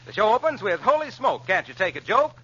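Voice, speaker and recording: A man's voice: sits high, sing-song, a little fast. A man announcing a scripted show introduction in a theatrical, declamatory 1940s radio style. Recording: dull vintage radio recording.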